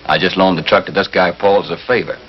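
A man's voice: low voice, fairly even intonation, fast, lightly acted. An American actor in his thirties playing a nervous, pleading crime suspect in a 1950s TV drama, insistent and defensive in plain words. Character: nervous, pleading male hit-and-run suspect. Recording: muffled, slightly noisy 1950s TV soundtrack.

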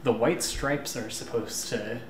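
A man's voice: mid-range voice, a little slow, a little hiss or hum. A man in his thirties chatting casually about technical work in a workshop. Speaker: restoration team member. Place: electronics lab workshop. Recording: echoey room, background voices.

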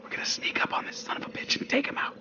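Actor as a whispering, commanding raider. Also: male voice, rapid-fire, muffled recording, close to an everyday voice. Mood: tense, commanding. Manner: hushed, terse, commanding. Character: tense, foul-mouthed man leading a stealthy raid. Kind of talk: whispered video game acting.

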